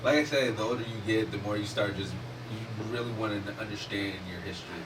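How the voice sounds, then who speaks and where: A man, talking in a casual discussion, animated and emphatic, swearing freely. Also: lowish voice, fast. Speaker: podcast host. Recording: distant mic with noticeable background noise.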